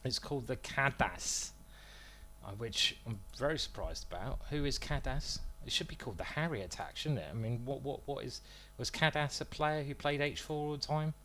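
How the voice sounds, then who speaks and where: A man's voice: mid-range voice, lively intonation, moderate pace, sharp tone, slight background noise. A man streaming live, commentating his own chess moves as he plays, thinking aloud and joking about his own bad play.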